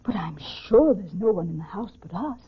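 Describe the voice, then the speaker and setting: An actress playing a timid, frightened wife with a breathless, anxious manner in a 1940s radio drama. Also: female voice, mid-range voice, expressive, fairly quick, husky. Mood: hushed fear. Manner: breathless, fearful. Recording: muffled 1940s radio broadcast recording.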